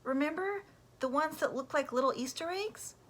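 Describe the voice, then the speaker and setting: Curious young girl, eager and wondering, acted by a female reader: lifted pitch, lively storybook tone. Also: normal speed.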